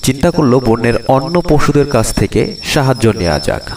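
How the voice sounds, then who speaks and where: A man's voice: mid-range voice, expressive, fairly quick, slight background noise. A man giving a dramatic scripted narration of a fairytale as the storyteller. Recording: distant voice under loud music.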